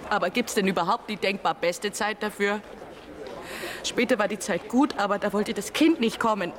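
A woman's voice: mid-range voice, normal speed, lightly acted. An actress in a radio drama playing an emotional, outspoken mother who stammers and rambles in agitation. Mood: confiding, nervous. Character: emotional, outspoken ordinary mother, indignant and flustered. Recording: noisy outdoor crowd scene.

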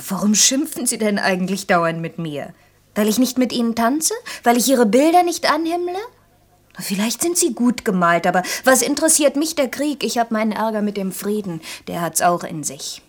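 An actress playing a direct, provoking young employee in a naturalistic radio play, pert and questioning in manner. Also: female voice, on the high side, lively intonation, moderate pace. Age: young adult.

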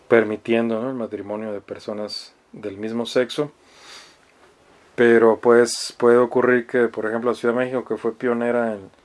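A Mexican man speaks as a professor giving a lecture, in a mid-pitched voice. He reads formal passages and then explains them in plainer terms. His delivery is didactic and somewhat hesitant, with frequent short tags that check for agreement. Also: darkish tone. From Mexicali, Baja California, Mexico. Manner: didactic, hesitant, often adding a questioning "¿no?". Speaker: law professor. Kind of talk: explanatory law lecture, reading articles aloud and commenting.

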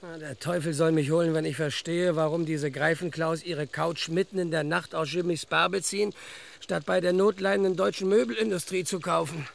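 An actor playing a grumbling, cheeky detective's sidekick in a vintage radio crime comedy, with a folksy manner. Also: male voice, a little high, steady tone, a little fast, vocal fry, a little hiss or hum.

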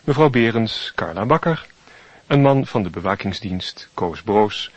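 Low, husky male announcer. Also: animated, no room sound. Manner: formal, measured. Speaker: radio announcer. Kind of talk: scripted credits announcement.

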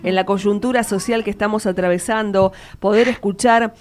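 A woman's voice: medium pitch, steady tone, normal speed, a little mellow. An Argentine woman hosting a casual, chatty radio interview in an effusive, affectionate manner. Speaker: radio show host. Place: radio studio.

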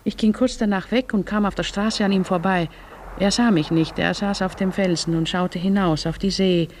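Eine Frau spielt eine ernst erzählende Frau, leicht verrauscht. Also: female voice, medium pitch, fairly even intonation, normal speed, darkish tone, slight background noise, lightly acted. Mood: grave, reflective. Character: Ada, grave woman quietly recalling a family quarrel. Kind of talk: literary radio drama acting.

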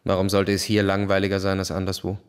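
An actor playing a formal, aloof young army officer of twenty-five in a radio play. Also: male voice, deep voice, completely flat delivery, fast, clear, resonant tone, lightly acted. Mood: formal, cool. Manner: formal military reporting.

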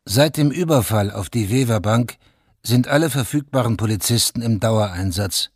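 A man narrating a radio drama from a script in a factual, measured, documentary-like way. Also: medium pitch, breathy edge, no room sound, studio quality. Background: music.

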